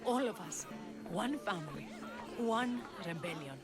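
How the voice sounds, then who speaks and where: An actress playing an earnest young woman leading rebels in a game cutscene, soft and reflective. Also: mid-range voice, animated, a little slow, over a noisy crowd, lightly acted. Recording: game cutscene with loud music behind.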